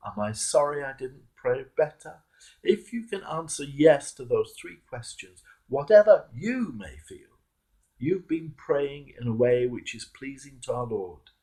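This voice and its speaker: A British man, a clergyman, reading a devotional reflection in a measured, emphatic way with a gravelly, husky voice. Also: medium pitch, very animated, dark tone, low-quality recording. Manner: measured, emphatic, repeats key phrases for weight. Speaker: Anglican clergyman. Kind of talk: devotional sermon reflection, read aloud.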